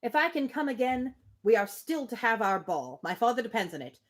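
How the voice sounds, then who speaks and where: A woman reading the part of a dejected, effusive young gentleman from a novel, in earnest, formal, lamenting speech. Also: fairly high pitch, big pitch swings, fairly quick. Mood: dejected, regretful.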